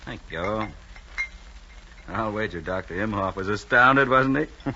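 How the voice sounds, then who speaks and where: An actor in an old-time radio drama playing a chatty, jaunty man of science with a brisk, casual manner. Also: on the low side, expressive. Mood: jaunty, absorbed. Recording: dull, noisy vintage radio recording.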